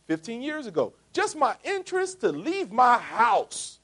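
Lively, animated man in his forties. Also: very high-pitched, measured pace. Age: 40s. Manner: animated, jokey, asks the audience rhetorical questions. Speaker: speaker pitching a business opportunity. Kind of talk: motivational sales talk to a live audience.

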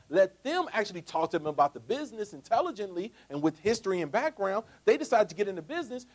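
A man in his forties giving a motivational sales talk to a live audience. He is jokey and asks the crowd rhetorical questions, swinging between loud punchy lines and quiet asides. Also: sits high. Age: 40s. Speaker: speaker pitching a business opportunity.

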